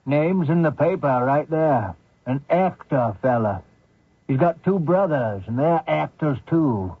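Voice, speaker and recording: An actor playing a blunt, folksy small-town man who probes strangers, husky, in old-time radio drama style. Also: on the high side, lively intonation, takes it slowly, no room sound, phone-quality sound, heavily acted. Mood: chatty, blunt. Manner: folksy, probing, plain-spoken.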